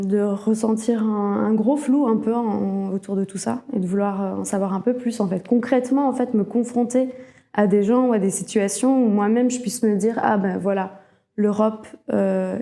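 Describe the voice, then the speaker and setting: A woman's voice: mid-range voice, dark tone. A woman from Alsace giving a personal testimonial in a reflective, hesitant way, often rephrasing as she goes. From Alsace, France. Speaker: cultural network worker, interviewee.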